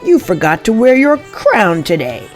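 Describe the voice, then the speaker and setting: A woman's voice: medium pitch, huge pitch swings, moderate pace, faint music behind, pitched above the performer's own voice. Woman as a mocking, bullying soldier. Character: scoffing, bullying royal soldier.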